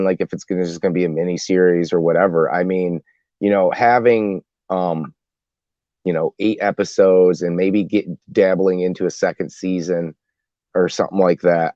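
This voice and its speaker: A man with a very deep voice chats as a podcast panelist. He works toward his point haltingly, repeating words as he goes. The audio is close and dry, slightly muffled. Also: a little mellow, dry recording. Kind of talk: casual panel discussion.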